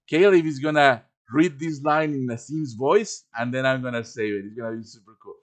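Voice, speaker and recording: A man hosts a live tutorial in a casual, improvised way. He has a mid-pitched voice and talks informally, thinking aloud as he works through the steps. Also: a little fast. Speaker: tutorial host. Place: live stream over voice chat.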